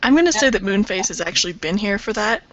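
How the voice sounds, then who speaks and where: A woman's voice: mid-range voice, lively intonation, fast, a bit bright, muffled recording. A woman roleplayer casually narrating what her character does. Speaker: tabletop roleplayer.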